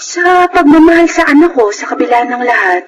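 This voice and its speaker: Casting: young single mother, very high voice, earnest and emotional radio drama delivery, music underneath. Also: expressive, brisk. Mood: grateful. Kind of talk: Filipino radio drama acting.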